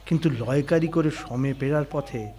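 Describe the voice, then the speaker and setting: A man playing a thoughtful, courteous mature village priest in an audio story, speaking in measured, old-fashioned formal phrases. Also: medium pitch, mellow, close to an everyday voice. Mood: musing. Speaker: voice actor. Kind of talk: dramatised audio story dialogue.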